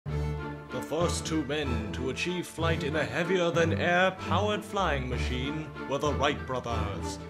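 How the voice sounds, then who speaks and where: A man's voice: fairly high pitch, animated, slow pace, over loud music. A man narrating as a theatrical, stern WWI flight instructor, pompous and declamatory, with old-fashioned wording in the style of a vintage military training film.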